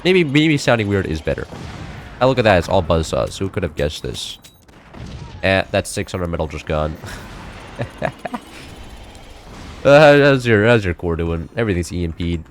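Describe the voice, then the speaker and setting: A young man in his late teens chatting loosely while playing a game, rambling with many fillers and restarts, his voice a little high for a man. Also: sing-song, normal speed, long pauses, slight background noise. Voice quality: slightly high-pitched for a man. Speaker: gameplay streamer. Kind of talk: casual chat while playing.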